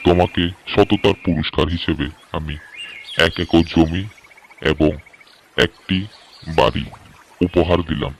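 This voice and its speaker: A man plays a village landlord in a cartoon tale, low in pitch and stately. He speaks as a man of authority, approving and generous, over a faintly noisy track with birdsong. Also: steady tone, measured pace, long pauses. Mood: pleased, generous. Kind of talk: cartoon story dialogue.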